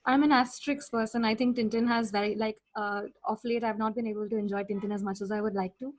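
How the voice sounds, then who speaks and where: A woman podcast guest talking casually and emphatically about her opinions, with a husky voice. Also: a little high, fairly even intonation, fast talker. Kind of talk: casual opinionated pop-culture chat.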